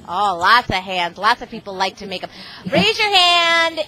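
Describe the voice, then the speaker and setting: A woman's voice: a little high, very animated, takes it slowly, noisy background. A middle-aged woman reading aloud to a class of children over a video call, exclamatory and often asking them questions. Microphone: video call microphone.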